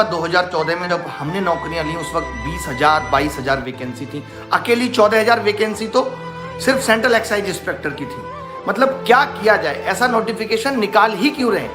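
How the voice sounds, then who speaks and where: A man speaks with sarcastic exasperation, like a teacher airing grievances to his students. He leans on pointed rhetorical questions in an informal, satirical style. The room rings with echo, and music plays underneath him. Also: fairly high pitch. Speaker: exam-coaching teacher. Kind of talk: informal talk to students with a satirical mock phone call.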